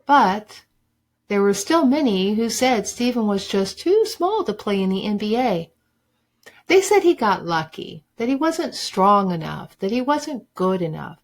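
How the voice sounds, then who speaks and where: A woman reading a children's book aloud like a teacher, with deliberate, emphatic phrasing for young listeners. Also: mid-range voice, big pitch swings. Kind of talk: expressive storybook read-aloud.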